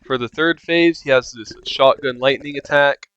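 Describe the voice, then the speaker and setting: A man's voice: medium pitch, moderate pace, fairly bright tone, clear, resonant tone, lo-fi sound. A man giving casual walkthrough commentary for a video game. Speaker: game guide narrator.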